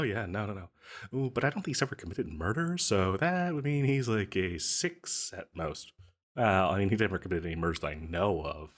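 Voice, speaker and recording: Man acting a swooping, over-eager shady salesman. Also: on the low side. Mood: muttering, hesitant. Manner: rambling, jittery, chuckling, hesitant asides. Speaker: voice actor performing a scripted audio roleplay. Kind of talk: comedic audio roleplay monologue.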